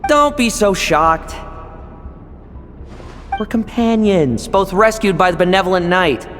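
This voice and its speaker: A sly young man from a video game, high-pitched and swooping in melody. He taunts with smooth, theatrical condescension, in long reverb over music. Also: lively intonation, slow pace, a bit bright, full character voice. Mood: coolly knowing. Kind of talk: video game character acting.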